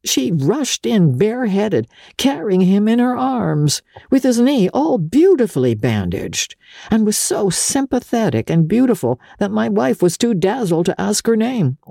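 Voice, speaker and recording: A woman's voice: mid-range voice, sing-song, measured pace, gravelly, mellow, no room sound, clean studio sound, pitched above the performer's own voice, exaggerated voice. A woman voicing a wry, shabby male journalist with an offhand, sardonic conversational manner in an audiobook of a classic novel. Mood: admiring.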